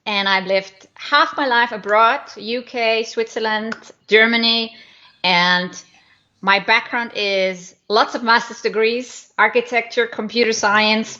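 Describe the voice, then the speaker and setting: A woman's voice: mid-range voice, sharp tone. A woman with an Icelandic accent, a guest business coach giving a conversational account of herself from her home office. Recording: dull, lo-fi online call.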